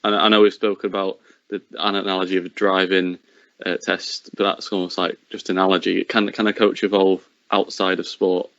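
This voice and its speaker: Low-voiced young interviewer. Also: male voice, flat delivery, brisk, a bit bright, no echo. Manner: encouraging, frequent backchannel agreement. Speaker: podcast host. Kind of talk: interviewer asking questions. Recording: dry lo-fi recording.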